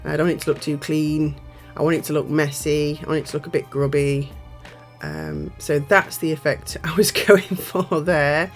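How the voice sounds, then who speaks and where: A woman's voice: low voice, expressive, moderate pace, soft background music. A woman, a little nasal and bunged up from a cold, chatting casually through a nail art tutorial voiceover. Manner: chatty, rambling, self-correcting. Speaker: nail art channel host.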